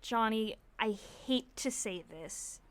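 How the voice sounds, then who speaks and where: An actress playing a caring, sharp-witted teenage girl in a nostalgic audio drama, earnest and expressive. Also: fairly high pitch, slow, no room sound. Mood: gently cautioning.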